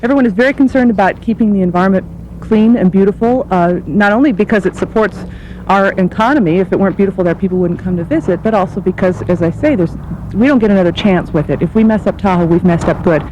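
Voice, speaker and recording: A woman speaks quickly in an interview as a tourism spokesperson. She is recorded on location outdoors with clear background noise. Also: medium pitch, a little mellow, lo-fi sound. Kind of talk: interview soundbite. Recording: noisy outdoor location sound.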